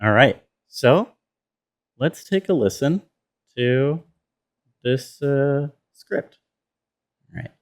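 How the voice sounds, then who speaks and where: A man's voice: medium pitch, expressive, drawn-out words, pauses often. A man giving a casual behind-the-scenes walkthrough as a sound designer, informal and easygoing. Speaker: sound designer of an audio drama. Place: indoor room with air conditioner running. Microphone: self-recording.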